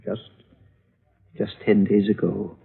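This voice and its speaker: Casting: frail, lonely old gentleman for 1950s radio play; husky, deliberate, fussy; dull old-recording sound. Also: lowish voice, little pitch movement, exaggerated voice. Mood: troubled, irritated. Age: older. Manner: halting, querulous, deliberate. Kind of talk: 1950s radio drama acting.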